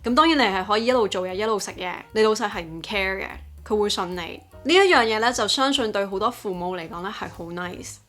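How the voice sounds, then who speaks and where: A woman's voice: mid-range voice, fast, few pauses, fairly bright tone, faint music behind. A young adult woman with a Hong Kong accent, narrating a vlog and switching between two languages. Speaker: host, English teaching YouTuber. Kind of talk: vlog narration mixing Cantonese and English.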